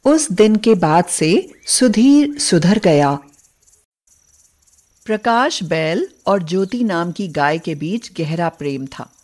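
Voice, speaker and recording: Slow-paced woman narrating a story. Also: on the low side, animated, a little mellow. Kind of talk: scripted narration of Hindi moral bedtime stories.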